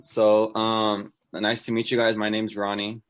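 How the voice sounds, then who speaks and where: A man's voice: lowish voice, monotone, measured pace. A man in his twenties from Texas, chatting cheerfully as a guest on a laptop video call. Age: 20s. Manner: upbeat, chatty, with soft laughs. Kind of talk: casual video-call chat.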